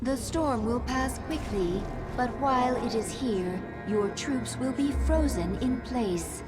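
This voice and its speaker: A solemn alien creature speaks slowly and formally over clear music. She is a devoted, reverent servant in a dramatic game cutscene, measuring out every phrase. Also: fairly high pitch, animated. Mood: solemn, advisory.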